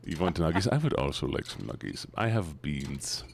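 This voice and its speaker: A man's voice: very deep voice, very animated, normal speed, vocal fry, a little hiss or hum, pitched below the performer's own voice, full character voice. A man voicing a playful scientist character, theatrical and repetitive, on a game stream. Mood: cheerful, silly.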